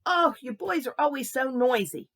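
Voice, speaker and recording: A woman voicing a cranky but soft-hearted old neighbor woman in a children's story, halting and repeating herself when she gets emotional. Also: high voice, lively intonation, pitched above the performer's own voice, full character voice. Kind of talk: children's Bible-lesson storytelling.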